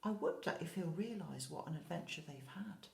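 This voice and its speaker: A woman's voice: fairly low pitch, expressive, moderate pace, gravelly, a little mellow. A woman teacher reading a picture book expressively to young children, addressing them directly with questions and asides. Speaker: infant school teacher reading a story. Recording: roomy, echoey indoor sound.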